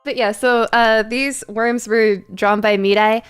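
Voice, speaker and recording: A woman's voice: on the high side, steady tone, measured pace, clear, resonant tone, soft background music. A woman hosting a casual livestream, chatting to viewers in a playful, giggly, rambling way. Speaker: livestream host and voice actor.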